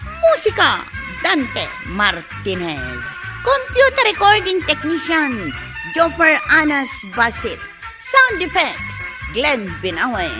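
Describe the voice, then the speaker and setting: A woman's voice: high-pitched, wildly swooping pitch, slow, music in the background. A woman with an Ilocano Filipino accent reading scripted credits as a radio drama announcer.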